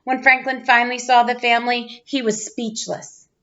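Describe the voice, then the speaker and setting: A woman reading a children's storybook aloud in an expressive narrating style. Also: a little high, moderate pace, dull audio. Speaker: storybook reader, teacher.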